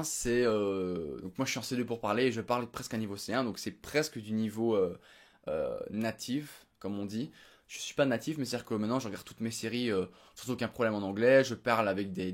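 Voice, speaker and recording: A young man from Belgium giving a casual, unedited recap to his viewers, rambling with many fillers and restarts. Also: mid-range voice. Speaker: vlogger. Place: home room.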